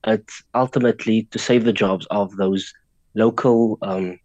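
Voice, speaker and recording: A man's voice: on the low side, steady tone, measured pace, darkish tone, dry recording, dull audio. A man with a South African accent, a native Afrikaans speaker, giving earnest remarks as an interview guest. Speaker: guest, fan community founder.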